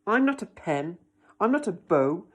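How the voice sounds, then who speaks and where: A woman's voice: on the low side, big pitch swings, unhurried, slightly rough, full character voice. Lady doing a little living stick man from a picture book, indignant, pleading, really punching the rhymes. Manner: emphatic, chanting protests in rhyme.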